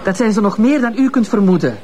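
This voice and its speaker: A proud, bitter middle-aged woman, played by an actress, speaks with a Flemish accent in a radio play. Her voice swoops widely as she scolds with sharp irony. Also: medium pitch, lively intonation, fast talker, faint music behind, lightly acted. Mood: defiant. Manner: ironic, scolding, self-assured. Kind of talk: radio drama acting.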